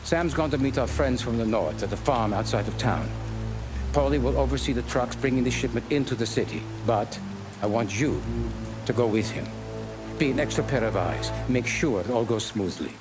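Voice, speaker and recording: An actor playing an older, measured and paternal mafia consigliere who lectures deliberately in a video game. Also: male voice, medium pitch, expressive, music in the background, lightly acted. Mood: calm, businesslike. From Sicily. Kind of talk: video game cutscene acting.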